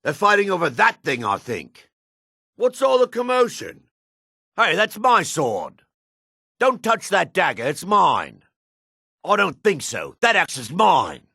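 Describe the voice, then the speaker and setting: A man voicing a gruff, guarded dark elf townsman in a fantasy video game, with a raspy, measured, theatrical delivery. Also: sits high, huge pitch swings, no echo, studio quality, full character voice. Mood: indignant. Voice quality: raspy, gravelly.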